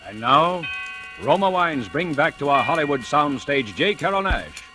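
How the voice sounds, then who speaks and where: A man's voice: a little high, animated, normal speed. A male announcer introducing a 1940s radio drama in a formal, declamatory manner. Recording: old radio broadcast over loud music.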